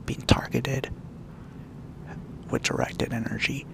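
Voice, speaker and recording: A man speaking in a hushed, halting, unscripted monologue, breathy and gravelly, with frequent pauses. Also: medium pitch, big pitch swings, noisy background. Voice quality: breathy whispering with gravelly fry.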